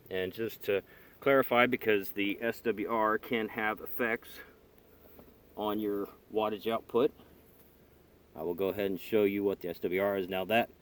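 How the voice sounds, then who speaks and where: A man giving a casual hands-on demonstration in a halting, tentative way, recorded on a handheld camera inside a car. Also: on the low side. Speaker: hobbyist demonstrating radio gear. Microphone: handheld camera or phone.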